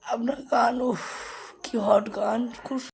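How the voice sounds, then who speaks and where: High-pitched gushing woman. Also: steady tone, slow, gravelly, crowd noise. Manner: gushing, pleading. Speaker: prank caller, vlogger. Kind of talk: playful prank phone call.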